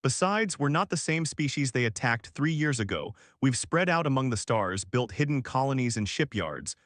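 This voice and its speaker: A man reading as an assured female space fleet commander in a science fiction story, measured and confident. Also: mid-range voice, lively intonation, a bit bright, dry recording, studio quality, close to an everyday voice. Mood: proud, resolute.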